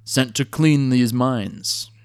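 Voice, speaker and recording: A man's voice: medium pitch, slow pace, clean tone, dry recording, clean studio sound. A man voicing an easygoing male adventurer who sounds laid-back, practical and wry. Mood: casual.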